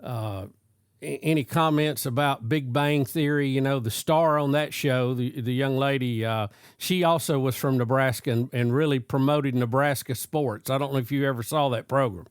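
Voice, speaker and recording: An older man hosting a sports talk show, speaking haltingly with lots of pauses and restarts. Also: medium pitch, smooth, dry recording, studio quality. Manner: halting, many fillers and restarts. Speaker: show host.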